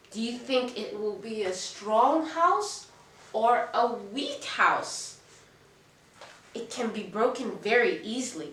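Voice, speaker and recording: A woman telling a children's story in an expressive, deliberate, teacherly way, putting questions to young listeners. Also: a little high. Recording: echoey room, distant mic.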